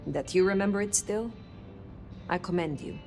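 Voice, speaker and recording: An actress voicing a composed, formal elder female vampire investigator in a video game, measured and reserved. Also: mid-range voice, music in the background, close to an everyday voice.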